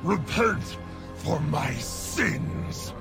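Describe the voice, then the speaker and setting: A wrathful orc warlord in a game cinematic. The voice is gravelly and proud, delivering slow, emphatic declarations that swing widely in pitch, with loud music underneath. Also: male voice, animated, heavily acted. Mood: proud defiance. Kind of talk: video game cinematic acting.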